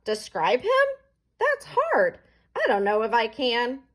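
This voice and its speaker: A woman reads a children's story aloud with lots of expression. Her voice sits fairly high and moves widely up and down. She takes her time, delivering the words slowly and dramatically. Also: huge pitch swings, a bit bright. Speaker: teacher reading aloud.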